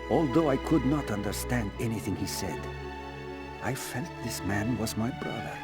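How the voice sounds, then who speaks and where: A man voicing a tender, weary Soviet soldier, softly reading his letter home in a war game. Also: medium pitch, expressive, normal speed, loud music behind the voice, natural voice. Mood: quietly moved. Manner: soft, reflective. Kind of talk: letter read as voice-over.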